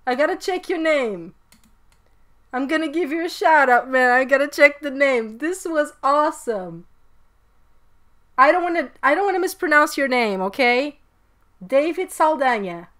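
A woman's voice: high-pitched, animated, normal speed, fairly bright tone. A woman in her twenties with a Portuguese accent, chatting casually and effusively as the host of a reaction video. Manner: effusive, slangy. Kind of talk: casual excited reaction chat. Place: small bedroom, indoors.

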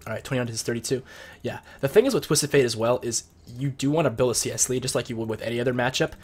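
Young guy with a head cold, kinda husky, talks fast while he plays, chatty strategy commentary. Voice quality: slightly husky, deeper from a cold. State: sick with a cold. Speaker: gameplay commentator. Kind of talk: casual gameplay commentary. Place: home room at his computer.

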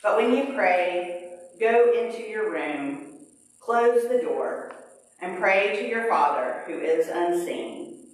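A middle-aged woman pastor leading a prayer and reading scripture in a measured liturgical way, at a pulpit in a large church. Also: mid-range voice, expressive. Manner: slow, measured liturgical delivery. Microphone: pulpit mic, far camera. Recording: very echoey sanctuary, distant camera.

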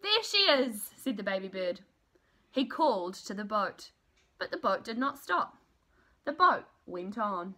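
A woman in her thirties reading a children's storybook aloud in an expressive, deliberate, emphatic way. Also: mid-range voice. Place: small room at home, indoors.

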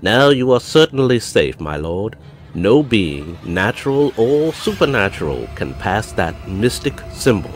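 A man's voice: on the low side, very animated, soft background music. A man playing a sinister old wizard. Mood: respectful. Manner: slow, ominous pronouncements. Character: sinister old male wizard in disguise, cold and menacing.

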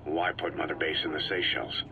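A man playing a terse, stoic mercenary boss in a video game, gruff and laconic, mostly asking short questions. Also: extremely low pitch, steady tone, fast talker, noisy background. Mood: dry, curious. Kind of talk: scripted video game dialogue.

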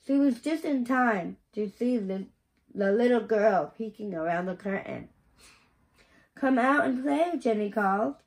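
A middle-aged woman reading a children's picture book aloud in a careful, deliberate manner. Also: mid-range voice, darkish tone, poor audio. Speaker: storybook reader. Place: small indoor bedroom.

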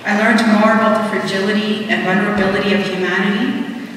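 A young woman reading a prepared graduation speech from a podium in an auditorium, earnest and measured, pausing between words. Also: mid-range voice, steady tone, fairly bright tone. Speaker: student graduation speaker. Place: large auditorium stage at a podium. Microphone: podium microphone heard from a distant camera. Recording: echoey hall from far off.

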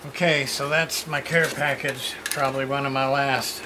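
A man's voice: medium pitch, little pitch movement, moderate pace. A middle-aged man muttering short, casual, unscripted remarks to himself while handling things in a cluttered room. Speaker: hobbyist unboxing a radio parts package. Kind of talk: casual unscripted unboxing commentary. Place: small cluttered room indoors. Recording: very noisy home camcorder audio, rustling.

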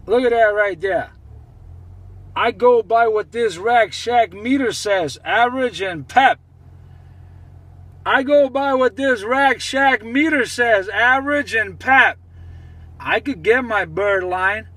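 Very high-pitched boastful man on CB. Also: takes it slowly, a bit bright, no echo. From New Jersey, United States. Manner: boastful, repetitive, chanting phrases. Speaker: CB radio operator. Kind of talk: CB radio banter.

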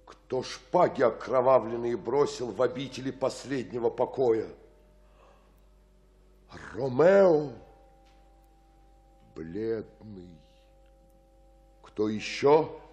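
An actor playing a pious, fearful monk in a radio tragedy, theatrical and full of horror and urgency. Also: male voice, mid-range voice, animated, slow, long pauses, mellow, soft background music. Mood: hushed horror. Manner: theatrical, exclamatory. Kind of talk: radio-play tragic verse acting.

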